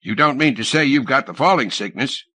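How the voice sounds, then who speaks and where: A man voicing a sour, suspicious middle-aged ship's captain in an audiobook, with a gruff, blustering manner of questioning. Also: medium pitch, expressive, a little fast, bright, forceful tone, dry recording, pitched above the performer's own voice. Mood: probing.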